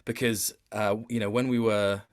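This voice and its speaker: A man with a fairly low voice talks casually as a guest in an interview. He is a voice actor, speaking in an informal, conversational way. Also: little pitch movement, moderate pace, clean tone. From Wales.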